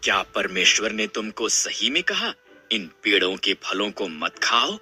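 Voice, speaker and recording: A man voicing a slow, sly tempting serpent. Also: fairly low pitch, sing-song, pushed and bright, breathy edge, soft background music, exaggerated voice. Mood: sly questioning. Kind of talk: dramatic Bible storybook acting.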